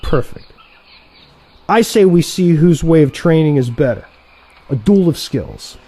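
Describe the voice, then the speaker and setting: Casting: male actor for brash younger assassin, audio drama, soft-edged timbre, very animated intonation, needling and sarcastic. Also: on the high side, unhurried. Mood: challenging. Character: excitable, cocky younger assassin, male trainee turned rival. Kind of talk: audio play dialogue.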